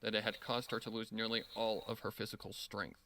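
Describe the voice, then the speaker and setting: A man's voice: fairly low pitch, level tone, a little fast, sharp tone, slight background noise. A man reading a scripted story as narrator, with a solemn, dramatic delivery. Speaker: narrator reading fan fiction.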